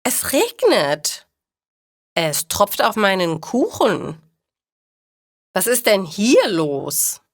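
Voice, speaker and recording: A woman's voice: medium pitch, huge pitch swings, extremely slow, pauses often, no echo, heavily acted. A cheerful, practical bakery owner from a storybook, played by a woman narrator, speaks emphatically and with animation. Her voice sits mid-range in a dry recording. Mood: alarmed.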